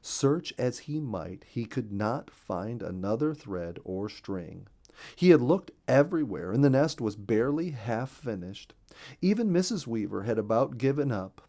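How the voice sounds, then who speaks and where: A man narrating a children's storybook in a gentle, expressive reading voice, recorded on a studio microphone. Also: mid-range voice, measured pace. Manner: gentle, expressive reading with a lot of rise and fall.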